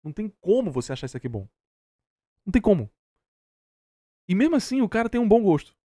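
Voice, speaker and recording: A Brazilian man with a relaxed, mellow tone talks informally and opinionatedly. His delivery is emphatic and full of slang. The sound is dry and close. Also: high-pitched, fast, dry recording. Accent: Brazilian Portuguese. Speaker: reaction stream host. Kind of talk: casual opinionated commentary.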